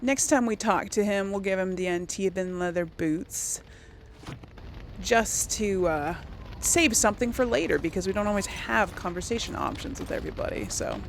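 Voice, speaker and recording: A woman's voice: medium pitch, moderate pace, a little hiss or hum. A woman doing casual let's play commentary, musing aloud and hesitant as she decides things. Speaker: gaming video host.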